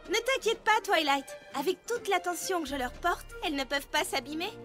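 A woman's voice: extremely high pitch, lively intonation, a little fast, a bit bright, soft background music. A woman voicing a vain, refined young female unicorn pony in a cartoon dub, theatrical and gushing. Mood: delighted. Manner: theatrical, showy.

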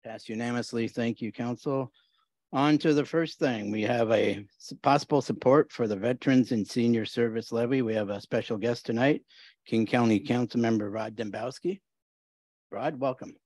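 A middle-aged mayor runs a council meeting from a desk mic, his voice of ordinary pitch. He moves through motions and votes briefly, with a friendly word between them. The recording is dry and close. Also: normal speed, darkish tone, no room sound. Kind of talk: meeting procedure. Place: city council chamber. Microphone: desk microphone.

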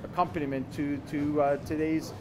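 A man in his fifties, a chef being interviewed, explaining things conversationally and haltingly, often repeating words. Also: fairly high pitch, flat delivery, noisy background. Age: 50s. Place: store counter indoors.

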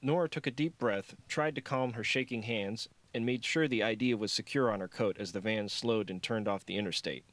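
Male audiobook narrator, fairly low pitch, scripted fiction read in a dry recording. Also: fairly quick, few pauses, a bit bright, dry recording.

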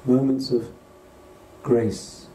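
Man, meditation teacher, very mellow muted tone, gentle phrasing with pauses, distant roomy sound. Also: mid-range voice, fairly even intonation. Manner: gentle, unhurried, pausing between short phrases. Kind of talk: guided meditation. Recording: distant roomy recording with faint noise.

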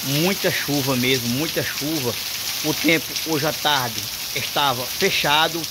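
A man's voice: on the high side, measured pace, heavy background noise. A man, filming a storm at night from a porch and talking to viewers about the rain. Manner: chatty, addresses viewers often. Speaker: vlogger filming the weather. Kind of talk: casual on-the-spot narration. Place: covered porch outdoors in heavy rain at night. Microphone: phone camera held by the speaker. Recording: loud pouring rain over voice.

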